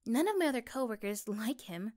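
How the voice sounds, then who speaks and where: A woman playing an affectionate, reassuring young girlfriend in a soft, gentle ASMR roleplay. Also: on the high side, lively intonation, a little fast, lightly acted. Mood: musing, confiding. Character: affectionate, reassuring young girlfriend chatting intimately to her partner.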